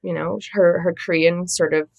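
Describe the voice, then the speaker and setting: A woman's voice: fairly low pitch, fairly even intonation, moderate pace, vocal fry, dry recording. A woman from Minnesota whose first language is Latvian, speaking as an interview guest, telling reflective stories in a conversational way. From Minnesota, United States. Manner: reflective, storytelling, warm laughs. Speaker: translator and editor, interview guest.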